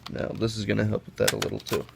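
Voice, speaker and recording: A man's voice: on the low side, completely flat delivery, fast talker, gravelly. A young man who is sick and sniffling, chatting casually and rambling as he handles toys at a table. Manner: chatty, rambling with self-corrections. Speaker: toy reviewer. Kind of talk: casual hands-on product comparison. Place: indoors at a table. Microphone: camera mic, off-screen above the table. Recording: noisy home recording.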